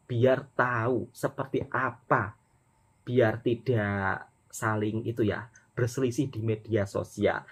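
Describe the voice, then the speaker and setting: A man hosting a reaction video, calmly explaining and commenting. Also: medium pitch, breathy edge. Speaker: reaction channel host.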